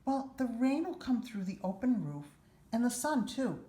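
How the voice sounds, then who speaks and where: A woman voicing an eager, imaginative young boy in a children's storybook, earnest and enthusiastic with drawn-out phrasing. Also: a little high, sing-song, dark tone, pitched above the performer's own voice. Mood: hopeful, dreamy. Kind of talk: children's storybook read-aloud. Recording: roomy echo, faint background noise.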